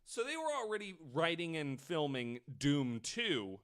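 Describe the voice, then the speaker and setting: A man's voice: on the high side, sing-song, measured pace, a bit bright. A man in casual comedic podcast banter, riffing and exclaiming in a jokey way. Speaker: podcast co-host.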